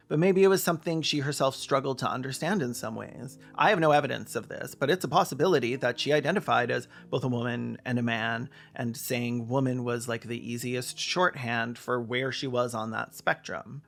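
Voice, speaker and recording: A man's voice: mid-range voice, creaky, a bit bright. A man narrating a scripted video essay with casual asides, in an emphatic, opinionated way. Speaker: video essayist and podcast host.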